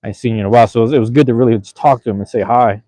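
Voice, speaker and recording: A man's voice: mid-range voice, very fast, dark tone, no room sound. A man livestreaming outdoors in light rain, chatting casually with viewers on his phone in a slangy, rambling way. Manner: laid-back, slangy, repeats himself. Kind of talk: casual rambling live chat. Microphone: phone camera.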